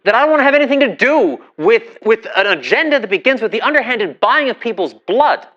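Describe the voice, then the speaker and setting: A man's voice: very high-pitched, big pitch swings, a little fast, fairly bright tone, dull audio, full character voice. An actor playing a principled, indignant man challenging a powerful figure in a 1950s-set audio drama, articulate and emphatic through a telephone filter.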